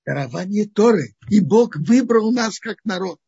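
A man with a very husky, gravelly voice, pitched a little high, teaches the weekly Torah portion. He talks haltingly, thinking aloud and restarting phrases. The recording sounds dull and lo-fi. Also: animated, mellow.

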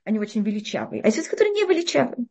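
Middle-aged woman teacher, quick and fairly high, animated, restarts a lot. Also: gravelly, slightly rough. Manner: animated explaining with frequent restarts and rhetorical questions. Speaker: Torah teacher. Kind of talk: interactive religious class.

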